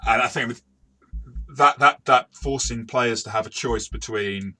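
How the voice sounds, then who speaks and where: A man's voice: mid-range voice, a little slow, sharp tone. A man talking conversationally as a game master reviewing a game, circling his phrases and repeating words as he goes.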